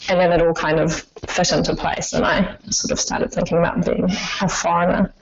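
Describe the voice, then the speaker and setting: A woman's voice: lowish voice, level tone, brisk, highs cut off, noisy background. A woman from Iran talking casually and thoughtfully in an interview, with some hesitation.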